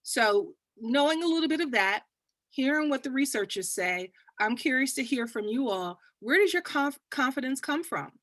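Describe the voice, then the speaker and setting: A Texan woman moderates a panel, her bright, forceful voice full of upbeat encouragement for her guests. The sound is dry and close, with no room around it. She talks like someone rallying a crowd. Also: sits high, expressive, normal speed, no echo. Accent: Texas. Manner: upbeat, hype-building, cheering on guests.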